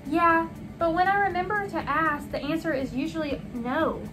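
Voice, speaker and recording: A woman's voice: extremely high pitch, moderate pace, music in the background, voice pushed up. A woman voicing an impulsive, sheepish young schoolboy who protests defensively in a children's storybook.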